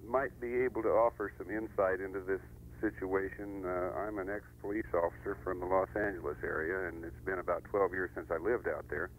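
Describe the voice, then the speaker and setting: Low-voiced, halting American man calling in. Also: fairly even intonation. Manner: hesitant, halting. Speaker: radio phone-in caller. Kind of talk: casual explanatory phone-in talk. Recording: noisy radio phone-in with hum.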